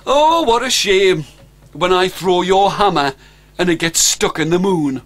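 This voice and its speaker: A man's voice: high-pitched, lively intonation, unhurried, fairly bright tone. A man voicing a crafty, small hero who bluffs and boasts to fool dragons. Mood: bluffing.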